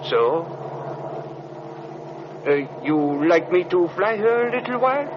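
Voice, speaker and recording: An actor playing a chatty, deferential French co-pilot with a French accent in an old radio drama, talking in hesitant questions. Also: male voice, high-pitched, big pitch swings, slow, exaggerated voice. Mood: curious, uneasy. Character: chatty, eager French-speaking airline co-pilot, polite and deferential. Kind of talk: 1940s radio drama acting. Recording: dull, noisy old radio recording, engine drone.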